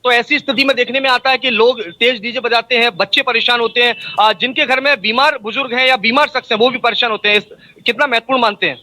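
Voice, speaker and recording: A man's voice: very high-pitched, steady tone, quick pace, sharp tone. A man working as a field news reporter, doing a live report and street interviews.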